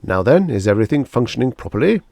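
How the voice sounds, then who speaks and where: Man voicing a jovial, emphatic salesman, pitched up. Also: very animated, darkish tone, dry recording. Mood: brisk, inquisitive. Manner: effusive, brisk, smooth sales patter. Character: tall, imposing, jovial salesman-like male finance agent. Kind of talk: audiobook character dialogue.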